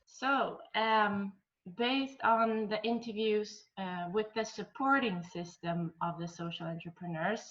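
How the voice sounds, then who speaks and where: Halting student presenter, woman, slow delivery, muffled recording. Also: medium pitch, a bit bright. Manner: halting, many ums and uhs. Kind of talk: scripted project presentation.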